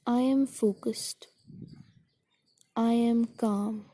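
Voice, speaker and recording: A woman guiding a meditation in a soothing, gentle, deliberate way, with a soft, breathy voice that trails toward a whisper. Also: a little high, steady tone. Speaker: meditation guide. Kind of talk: guided meditation narration.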